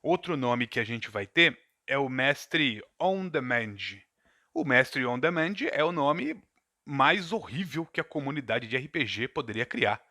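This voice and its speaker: A man's voice: mid-range voice, lively intonation, measured pace, bright, forceful tone, dry recording, studio quality. A Brazilian man, a game master, giving a thoughtful, digressive talk to a live chat from home, with asides and self-corrections. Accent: Brazilian Portuguese. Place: home room in front of a camera. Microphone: camera mic.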